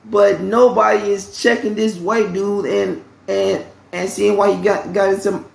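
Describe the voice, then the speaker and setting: A man's voice: high-pitched, vocal fry, reverberant. A man casually telling a story about his job, rambling and repeating himself, often asking "okay?" and swearing bluntly. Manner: blunt, uses profanity freely. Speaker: vlogger telling a work story.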